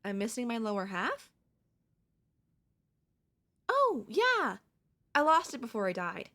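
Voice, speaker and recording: A woman's voice: on the high side, huge pitch swings, slow, pushed and bright, heavily acted. A woman voicing a cute, affectionate young ghost girl in an intimate ASMR audio roleplay, casually frank and hesitant. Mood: nonchalant, frank. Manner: casual, hesitant, matter-of-fact about grim things. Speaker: voice actor.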